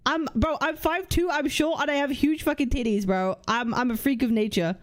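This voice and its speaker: A young woman hosting a casual livestream, reading chat and lists aloud, blunt and swearing freely as she thinks out loud. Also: sits high, normal speed. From United Kingdom. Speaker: livestream host.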